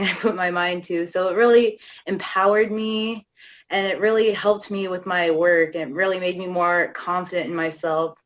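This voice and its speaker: A woman's voice: lowish voice, rough recording. A young woman activist from Alaska talking hesitantly in a casual panel conversation, with many fillers. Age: young adult. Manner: hesitant, many fillers, laughs between phrases. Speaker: youth activist guest.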